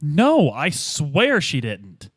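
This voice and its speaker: A man's voice: on the high side, huge pitch swings, extremely slow, no echo, studio quality, exaggerated voice. A man playing an earnest amnesiac detective in a tabletop radio play, informal, persistent and imploring. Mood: defensive.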